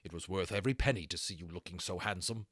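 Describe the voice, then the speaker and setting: Man acting a courteous, formal naval gentleman. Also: low voice, fast, no room sound, studio quality. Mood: fond. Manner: formal, gracious, old-fashioned. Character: courteous, affectionate naval gentleman turned dragon aviator.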